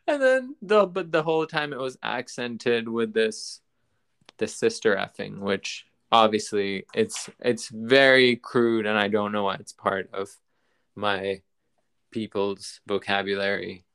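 A man's voice: on the low side, a little slow, fairly bright tone, clear, resonant tone. A man hosting a podcast in casual chat, rambling and often restarting his sentences. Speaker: podcast host.

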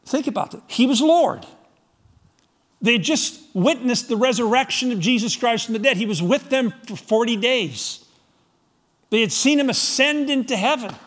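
A middle-aged man from Scotland preaching a sermon to his congregation, firing rhetorical questions, swinging from loud emphasis to soft, low asides. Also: expressive, moderate pace. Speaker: pastor.

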